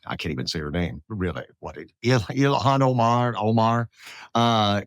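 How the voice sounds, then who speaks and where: A man hosting a conversational political interview, speaking haltingly and repeating words as he searches for phrasing. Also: fairly low pitch, expressive, no echo, clean studio sound. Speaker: podcast host, interviewer.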